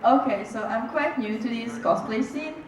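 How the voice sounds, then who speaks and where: Young woman from Hungary, slow, hesitant, giggly; heard from a distance off mic. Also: a little high. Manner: giggly, hesitant, many ums. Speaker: cosplayer panel guest. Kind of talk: casual panel chat. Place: convention stage in a large echoing hall. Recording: echoey hall, noisy crowd.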